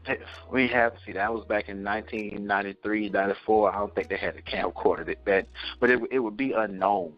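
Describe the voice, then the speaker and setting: A man's voice: lowish voice, fairly even intonation, a little fast, highs cut off, faint music behind. A man hosting an interview series, enthusiastic and telling stories from his own childhood. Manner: enthusiastic, storytelling. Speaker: interview host. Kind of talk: casual interview chat.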